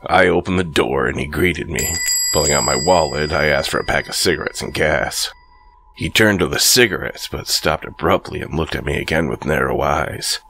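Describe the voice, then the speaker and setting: A man narrating a first-person horror story in a slow, brooding scripted read. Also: extremely low pitch, vocal fry, pushed and bright.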